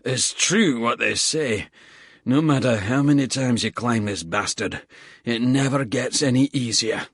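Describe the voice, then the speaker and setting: Male narrator doing a blunt, sweary soldier, winded, husky, louder than the narration. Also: medium pitch, unhurried, no echo, clean studio sound. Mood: winded grumbling. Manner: blunt, sweary, breathless between words. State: out of breath.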